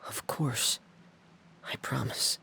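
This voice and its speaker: A man playing a quiet, melancholy, hesitant teenage boy in a video game, speaking softly. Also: slow, whispered. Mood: reserved, earnest. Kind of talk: scripted game dialogue. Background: prominent music.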